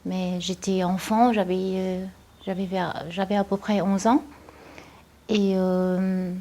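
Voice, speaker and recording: Soft-toned woman from Vietnam, halting. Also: medium pitch, little pitch movement. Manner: hesitant, many fillers and restarts. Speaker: interviewee. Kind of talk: personal testimony.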